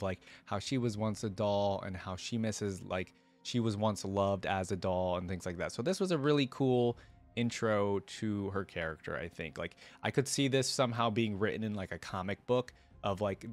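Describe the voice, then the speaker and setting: A man hosting a reaction video, moving between informal, enthusiastic fan commentary and reading a story aloud. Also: fairly low pitch.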